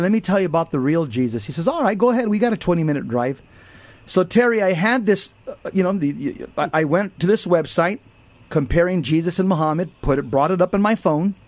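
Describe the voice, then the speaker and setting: Man, radio co-host, earnest and emphatic, fairly high voice, close dry studio mic. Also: darkish tone, no room sound. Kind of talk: radio talk show storytelling and teaching.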